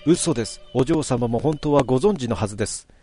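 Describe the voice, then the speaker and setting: A man's voice: mid-range voice, animated, brisk, dark tone, soft background music, close to an everyday voice. A man voicing a loyal female servant who speaks formally and pleads earnestly. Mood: pleading.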